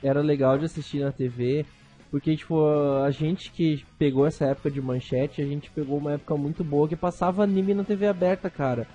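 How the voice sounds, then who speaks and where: A young man from Brazil hosting a casual podcast chat, talking in a relaxed, slangy way and drawing out points for emphasis. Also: fairly high pitch, brisk, slightly rough. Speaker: podcast host. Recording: faint music behind.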